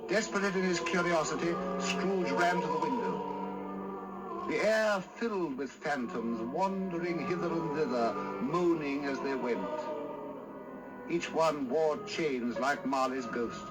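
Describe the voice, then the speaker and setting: Male radio drama narrator: measured, deliberate, theatrical, slow pace, lightly raised pitch, music underneath. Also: rough recording.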